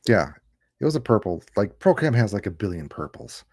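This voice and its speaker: A man's voice: fairly low pitch, very animated, fairly quick, darkish tone, dry recording, studio quality. A man co-hosting a live stream in a casual, chatty way. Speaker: co-host. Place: indoors on a video call. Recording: clear background noise, clicks.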